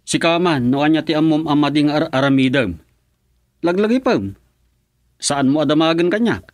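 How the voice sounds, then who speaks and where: A man's voice: fairly high pitch, moderate pace, no room sound, studio quality. A man with an Ilocano accent playing a village chairman in a comedy radio drama, stern and scolding.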